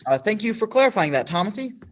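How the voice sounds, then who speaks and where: A man playing a nervous male field reporter in a school radio play, halting and full of fillers. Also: high-pitched, big pitch swings, a little hiss or hum. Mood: flustered.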